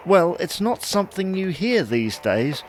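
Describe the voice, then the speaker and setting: A man's voice: sits high, sing-song, measured pace, soft background music. A man playing a chatty, fussy, wryly puzzled husband in an audio sitcom. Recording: noticeable background noise.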